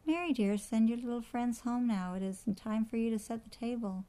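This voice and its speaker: A woman's voice: fairly high pitch, fairly quick, mellow, natural voice. A woman voicing a polite but firm mother of a young girl in a children's story. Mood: gently firm.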